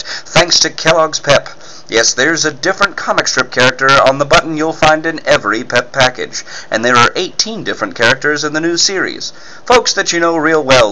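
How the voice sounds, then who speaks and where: Bright, folksy male old-time radio announcer. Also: medium pitch, slight background noise, rough recording. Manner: folksy, enthusiastic salesmanship. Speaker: narrator and announcer. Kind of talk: scripted 1940s radio announcer narration and ad read.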